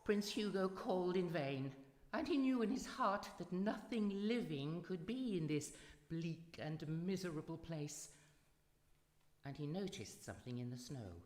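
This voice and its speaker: A theatrical auntie played by a woman tells spooky tales in a lowish, swooping voice. She relishes every suspenseful pause. The voice rings in a long hall reverb. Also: lively intonation, darkish tone. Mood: hushed, ominous. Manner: dramatic, relishing, drawn-out suspense. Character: theatrical auntie storyteller of ghostly fairy tales, Auntie Mildred. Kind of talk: live radio drama storytelling. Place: live performance in a reverberant hall. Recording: echoey hall with long reverb, music behind.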